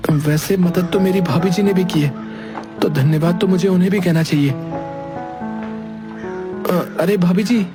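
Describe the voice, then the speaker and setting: A simple young village boy from a children's cartoon, voiced by a man. His voice is very high, guileless and pleading, with music clearly behind it. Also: a little slow, long pauses. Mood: guileless, grateful. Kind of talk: Hindi children's cartoon voice acting. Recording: prominent music bed under voice.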